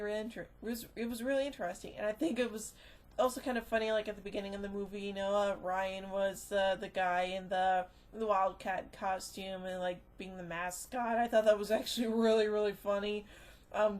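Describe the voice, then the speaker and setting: Rambling, halting woman reviewing casually. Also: medium pitch. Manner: rambling and halting, trailing off into filler phrases. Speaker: movie reviewer. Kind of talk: casual unscripted video review.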